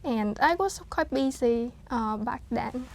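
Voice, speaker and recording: Woman, halting speech. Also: fairly high pitch, animated, vocal fry, slight background noise. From Vietnam. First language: Vietnamese. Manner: hesitant, many fillers and restarts, laughs softly. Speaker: interview guest. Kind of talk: casual interview talk.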